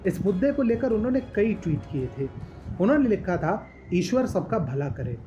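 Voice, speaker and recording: A narrator of unclear sex delivers scripted news commentary with animated, swinging intonation. The delivery is emphatic and rhetorical. The recording is slightly dull, with music playing underneath. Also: mid-range voice, moderate pace. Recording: dull lo-fi audio over music bed. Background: background music.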